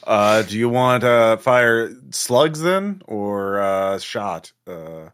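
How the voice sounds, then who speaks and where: A man's voice: lowish voice, lively intonation, very slow, a bit bright, smooth. A man acting as game master, talking casually and informally as he sets the scene and offers options. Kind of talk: casual tabletop game narration.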